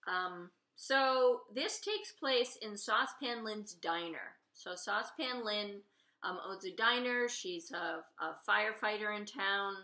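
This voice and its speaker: A woman's voice: medium pitch, slow, pushed and bright. A woman author reading her children's novel aloud expressively, with informal asides. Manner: openly fond of the characters. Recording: roomy, echoey room sound.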